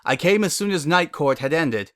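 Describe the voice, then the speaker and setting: A man voicing a regal, formal princess pony, loud and declamatory, in a dramatic story reading. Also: a little high, lively intonation, moderate pace, voice pushed up. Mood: imperious. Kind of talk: dramatic fan fiction reading.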